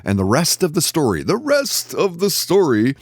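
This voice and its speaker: A man's voice: a little high, huge pitch swings, normal speed, dry recording. A man hosting a podcast, reading sponsor spots and introducing guests. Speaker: podcast host.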